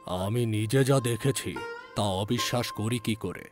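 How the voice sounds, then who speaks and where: Man playing a grave, slow-spoken old physician. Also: on the low side, music in the background, close to an everyday voice. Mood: solemn reminiscing. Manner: solemn, deliberate storytelling. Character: grave, touchy old village physician recounting his past. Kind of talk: dramatized ghost story narration.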